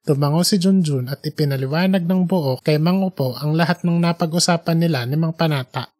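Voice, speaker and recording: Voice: medium pitch, brisk, a little mellow. An audiobook podcast narrator reads scripted fiction. The voice sits in a middle register and tells the story in prose.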